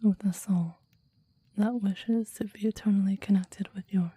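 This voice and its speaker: A woman voicing a possessive, devoted demon in an intimate ASMR roleplay, speaking in soft breathy whispers with a formal, intense, adoring manner. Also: medium pitch, fairly even intonation, moderate pace, no room sound. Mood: yearning, adoring. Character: possessive, devoted clingy demon bound to a summoner.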